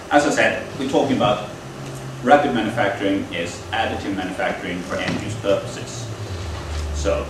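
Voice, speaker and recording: A man giving a technical lecture at a training event, explaining in a halting way with frequent pauses. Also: fairly low pitch, expressive. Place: lecture room at a university. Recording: echoey room, distant mic, air-conditioning hum. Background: air conditioning hum.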